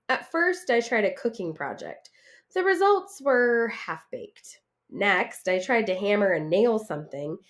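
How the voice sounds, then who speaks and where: A woman reading a picture book aloud in an animated, expressive, dramatic way for children. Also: mid-range voice. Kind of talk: animated storybook read-aloud.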